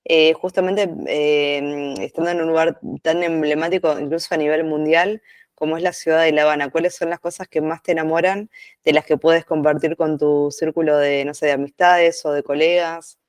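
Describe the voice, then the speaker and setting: A man's voice: fairly high pitch, little pitch movement, normal speed, smooth, no room sound. A man of forty-one with a Buenos Aires accent, hosting an informal interview with long, winding questions. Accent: Buenos Aires Argentine. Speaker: interviewer, host.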